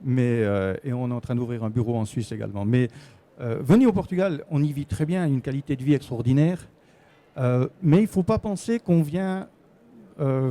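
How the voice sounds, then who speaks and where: Male guest expert, Swiss French accent, very dark mellow voice, hesitant explanatory delivery with repeated words. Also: on the high side. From Switzerland. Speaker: guest expert on relocating to Portugal. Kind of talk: explanatory live conference interview.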